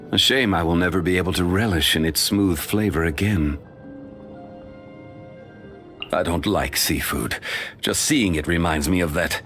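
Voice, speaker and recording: A deep, composed ancient stone god. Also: male voice, a bit bright, full character voice. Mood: wry. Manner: measured, formal, unhurried. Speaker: voice actor. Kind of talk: game character voice lines.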